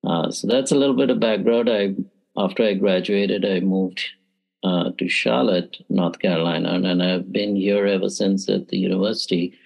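A man's voice: sits low, steady tone, moderate pace, creaky, a little mellow, poor audio. A middle-aged man from India, a math education professor, giving thoughtful interview answers with frequent "uh" and "you know".

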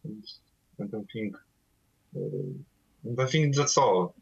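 A Polish-accented man talks in slow, halting English with plenty of fillers. His voice sits low. The call line sounds dull and lo-fi. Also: huge pitch swings, vocal fry, slightly rough. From Poland. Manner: halting, hesitant non-native English with many fillers. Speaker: guest vocalist of a death metal band. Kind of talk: interview over a call.

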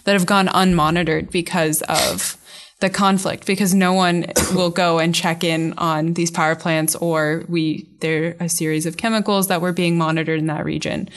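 A woman's voice: lowish voice, fairly even intonation, normal speed. A young woman, a student, interviewing a classmate in a casual, chatty way. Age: young adult. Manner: chatty, loosely phrased questions.

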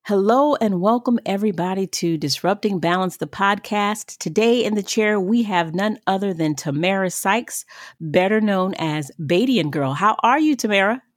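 A woman hosting a podcast, introducing the show and interviewing, with a husky voice. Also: medium pitch, expressive, normal speed. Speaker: podcast host.

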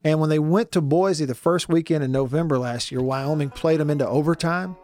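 A man hosting a sports radio talk show from a studio, hoarse today, chatty and jokey. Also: fairly high pitch, brisk, darkish tone, soft background music. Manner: chatty, jokey, lots of fillers.

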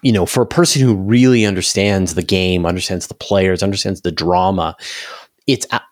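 A man talking casually as an interview guest, explaining things in an animated, emphatic way. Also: sits low, moderate pace, no echo, studio quality. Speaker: interview guest, space channel creator.

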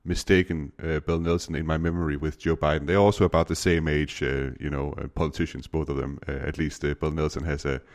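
Casting: deep-voiced Danish-native podcast host, hesitant, lots of uhs. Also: male voice, little pitch movement, fairly quick, hardly any pauses. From Denmark. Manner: hesitant, many uh fillers. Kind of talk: interview podcast.

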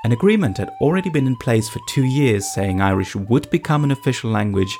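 A man from eastern Germany narrating a reported feature in a measured, scripted way. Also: medium pitch, expressive, over music. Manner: measured, storytelling delivery.

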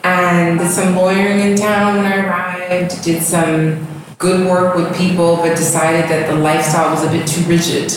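Deep-voiced woman in an echoey room. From Ghana, raised in the US. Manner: reflective, rambling with fillers. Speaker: guest, yoga studio founder. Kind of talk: casual interview talk. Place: home. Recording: echoey room, distant mic, background noise.

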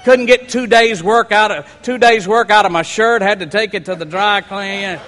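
A man preaches with a high, bright, ringing voice that swells into shouts and then falls to a near hush. He mixes scripture reading with folksy jokes. A long hall reverb trails every phrase. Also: normal speed. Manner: builds to shouting crescendos, then drops low; folksy, humorous asides. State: sweating and worked up. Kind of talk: sermon.

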